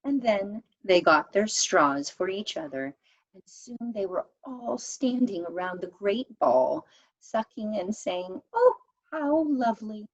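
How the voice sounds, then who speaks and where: A woman reading a children's story aloud as an expressive storyteller. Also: medium pitch, slow, gravelly, dull audio.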